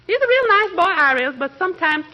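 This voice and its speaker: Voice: very high-pitched, very animated, fast, pushed and bright, full character voice. An actor playing a deferential, playful maid with folksy, ungrammatical speech in a vintage radio comedy. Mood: playful, wistful. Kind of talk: 1940s radio comedy acting. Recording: noisy, band-limited vintage radio broadcast.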